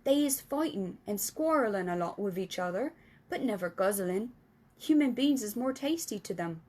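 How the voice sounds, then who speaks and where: A gentle, friendly storybook giant, put on by a woman reading aloud, tumbling over muddled grammar and invented words. He often drops to a soft, hushed whisper. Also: mid-range voice, lively intonation, normal speed, lightly acted.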